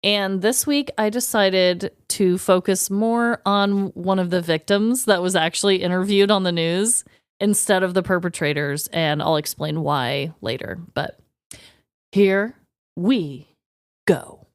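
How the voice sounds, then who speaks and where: A woman's voice: fairly low pitch, a little slow, clear, resonant tone, no room sound, clean studio sound. An American woman co-hosting a podcast, moving between casual banter and scripted comedic storytelling. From United States. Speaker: podcast co-host.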